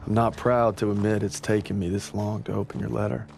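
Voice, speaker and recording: A gravelly, weary GI. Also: male voice, little pitch movement, brisk. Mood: weary, somber. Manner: somber, gravelly, low-key. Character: young male American GI, weary, homesick private. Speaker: narrator and soldier. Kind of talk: game cutscene narration. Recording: narration over loud music. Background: music.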